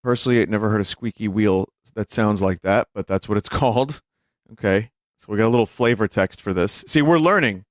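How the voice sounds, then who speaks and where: A man's voice: on the low side, brisk, no echo, clean studio sound. A man hosting a livestream, reading text aloud and commenting casually. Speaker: streamer and host.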